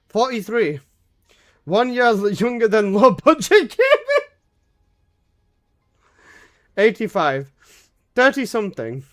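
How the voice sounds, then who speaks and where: A man's voice: high-pitched, huge pitch swings, slow pace, long pauses, a bit bright. A man in his twenties, a game streamer chatting casually and jokingly with his live chat, swearing often. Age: 20s.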